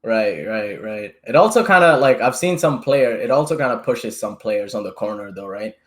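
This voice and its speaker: A man's voice: fairly low pitch, lively intonation, normal speed. A man in his twenties hosting a podcast, chatty and casual, tossing in swearing and tag questions. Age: 20s. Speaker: podcast host.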